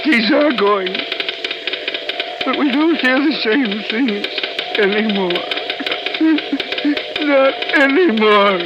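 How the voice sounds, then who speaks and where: A woman's voice: fairly high pitch, animated, very slow, pauses often, over loud music. A woman playing an eerie, unhinged figure who hears phantom sounds, in theatrical old radio drama style. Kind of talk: 1940s-50s radio drama acting. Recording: dull, very noisy old radio recording.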